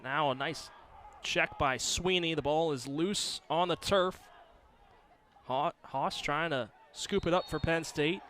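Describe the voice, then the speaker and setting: A man's voice: a little high, takes it slowly, fairly bright tone, a little hiss or hum. A man calling live sports as a play-by-play announcer on a broadcast.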